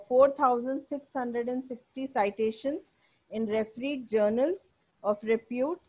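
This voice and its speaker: A woman's voice: fairly high pitch, a little mellow, highs cut off. A woman with an Indian English accent, formally introducing a guest speaker as a webinar host, polite with fillers.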